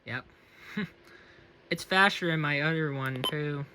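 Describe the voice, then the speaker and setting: A man's voice: on the high side, slow. A man giving a casual, unscripted product review and demonstration. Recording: faint background noise.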